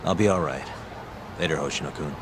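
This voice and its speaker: A man acting a calm, tough detective in an English video game dub. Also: a bass voice, fairly quick, noisy background. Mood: calm, serious. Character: calm, tough male detective and former lawyer. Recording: music behind the voice.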